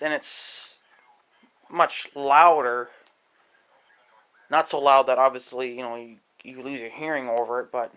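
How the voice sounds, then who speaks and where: Man, smooth clean voice, casual home demo, rambling, halting, informal. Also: fairly high pitch, a bit bright. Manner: halting, informal, thinking aloud. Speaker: amateur video creator demonstrating an app. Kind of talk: casual rambling demonstration to viewers. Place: indoors in own room at home.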